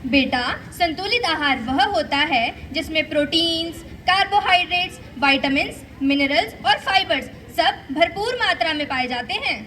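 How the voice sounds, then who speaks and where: A woman's voice: very high voice, animated, moderate pace, hardly any pauses, sharp tone, full character voice. A female performer playing a patient, instructive mother in a school stage play, reciting explanations. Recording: live stage, faint background noise.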